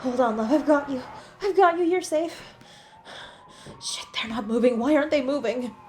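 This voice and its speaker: A woman's voice: high voice, moderate pace, a little mellow, a little hiss or hum, natural voice. A woman playing a devoted, guilt-ridden superhero in an intimate, emotional audio roleplay, with a pleading, tender way of speaking. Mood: panicked, urgent. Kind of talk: dramatic audio roleplay, intimate second-person.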